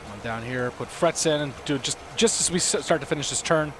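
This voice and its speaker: Hoarse man talking through a flight. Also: a little high, quick pace, background noise. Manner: talks himself through cockpit steps, chats with viewers. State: losing his voice, hoarse. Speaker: livestream host. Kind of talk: casual commentary while flying a simulator.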